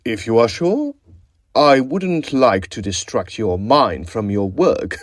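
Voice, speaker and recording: A man's voice: medium pitch, big pitch swings, slow pace, no room sound, clean studio sound. An actor playing a suave, ingratiating and unnerving male student in a period audio drama, given to inflated, grandiose talk. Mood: polite, ingratiating.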